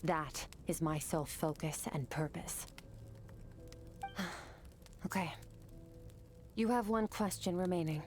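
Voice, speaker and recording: Aloof game warrior mentor, deep-pitched, measured and matter-of-fact, faint music behind. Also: female voice, a little mellow. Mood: resolute. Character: aloof, stoic otherworldly swordswoman mentor, cool and blunt. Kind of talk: animated video game voice acting.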